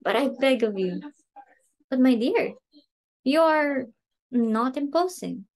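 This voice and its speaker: A woman's voice: sits high, expressive, takes it slowly, exaggerated voice. A woman playing a flustered, snobbish society hostess and mother in an amateur dramatic reading, exclamatory and imperious. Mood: insistent, coaxing.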